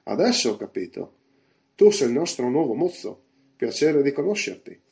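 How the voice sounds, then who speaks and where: A man voicing a bluff one-legged pirate innkeeper in a storybook, loud and emphatic, firing off orders and questions. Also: medium pitch, moderate pace, close to an everyday voice. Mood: jovial, hearty. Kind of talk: audiobook character voice.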